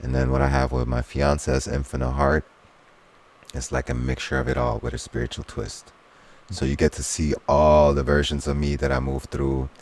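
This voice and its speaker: A Swiss-born man with Swiss German as his first tongue, speaking in an extremely low, gravelly voice. He talks earnestly in a relaxed interview and often reaches for spiritual language. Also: normal speed. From Switzerland. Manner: earnest, spiritual turns of phrase. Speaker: guest, soul singer and DJ. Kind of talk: casual conversational interview.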